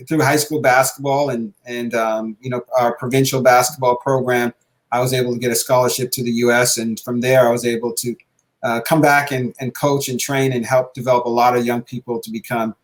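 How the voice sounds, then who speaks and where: A middle-aged man hosting a show conversationally, halting often with filled pauses. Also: medium pitch, low-quality recording. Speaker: podcast host, basketball coach.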